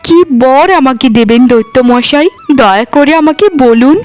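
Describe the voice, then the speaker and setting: Woman playing a high-voiced, defiant young wife. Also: normal speed, darkish tone, soft background music, close to an everyday voice. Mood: grateful. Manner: defiant, firm. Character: wronged young daughter-in-law turned bold, threatening giantess. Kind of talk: cartoon voice acting.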